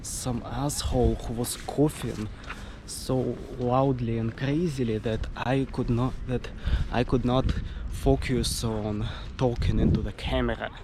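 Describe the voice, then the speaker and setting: A young Russian man talks into a handheld camera in slow, unhurried English, with his accent clear. He gropes for words and restarts often, and his voice sometimes drifts off the mic over faint city noise. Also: medium pitch, fairly even intonation. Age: 20s. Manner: halting, with many fillers and restarts. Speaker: travel vlogger. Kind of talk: casual vlog talk to camera. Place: sightseeing spots around a city, partly outdoors.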